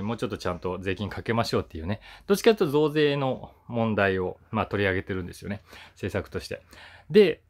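A man in his forties chatting casually to camera in a musing, conversational way, stressing the starts of his sentences. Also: on the low side. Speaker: voice actor hosting his own talk channel.

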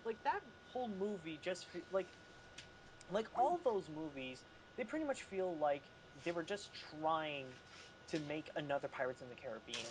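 High-pitched, animated man chatting over noise. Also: normal speed. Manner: rambling, stumbling delivery. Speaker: talk show panelist. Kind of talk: casual group chat.

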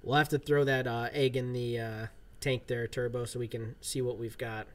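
A man's voice: medium pitch, level tone, moderate pace. A man in his thirties chatting casually on a livestream from his home gaming room, rambling with lots of fillers. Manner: laid-back, rambling, many fillers. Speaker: livestream gamer. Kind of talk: casual livestream chat while playing.

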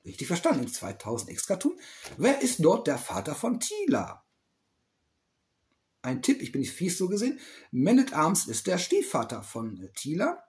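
A man's voice: high-pitched, huge pitch swings, moderate pace, darkish tone. A middle-aged man in a small indoor room, rambling through a casual quiz vlog, correcting himself and teasing that he knows the answers. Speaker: toy collector vlogger.

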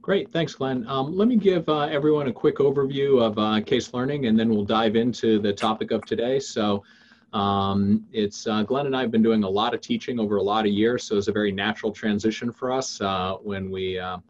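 Halting American man, slightly muffled webcam sound. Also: lowish voice, slight background noise. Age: 50s. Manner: halting, frequent filled pauses and restarts. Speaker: webinar host and investing teacher. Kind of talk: informal webinar presentation. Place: indoors on a video call.

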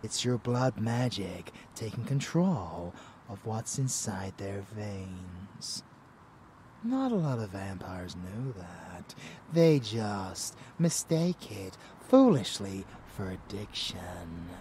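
A man playing a rebellious, murderous vampire lover in an intimate ASMR roleplay, teasing and menacing, breathy and often dropping into a whisper. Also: lowish voice, very animated, slow, mellow, a little hiss or hum. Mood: seductive, instructing.

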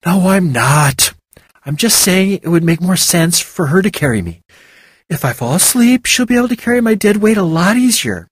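A narrator voicing a weakened but kind young woman healer who speaks gently and reasonably. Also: medium pitch, big pitch swings, normal speed, husky, no room sound, studio quality, pitched above the performer's own voice. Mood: gentle.

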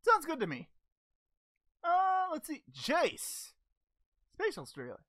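A cheerful, simple-minded martial-arts hero from a cartoon parody, acted out by a performer. The high voice swings widely from muttering to exclaiming, blunt and halting as it reads fan questions. The sound is close and dry. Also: wildly swooping pitch, a bit bright, no echo, full character voice. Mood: upbeat, chatty. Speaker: host reading fan questions. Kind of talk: in-character fan question-and-answer show.

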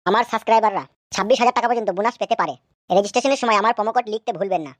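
Woman softly reading a sponsor ad. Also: on the high side, racing, no echo. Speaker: advert reader. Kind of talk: read-out sponsor ad.

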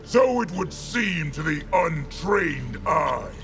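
Slow, gravelly, stern warrior. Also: male voice, fairly high pitch, sing-song, full character voice. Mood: haughty. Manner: measured, formal. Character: stern, solemn immortal fighter, imperious. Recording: loud music behind.

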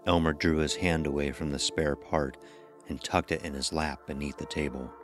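A man's voice: a bass voice, fairly even intonation, normal speed, soft background music. A man narrating a scripted horror story as the narrator of an audio drama.

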